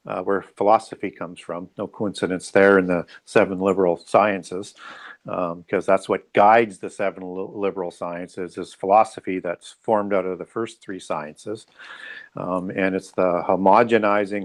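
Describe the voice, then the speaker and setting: A man speaking as an interview guest, explaining his views earnestly and discursively, often checking in with small tags. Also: on the low side.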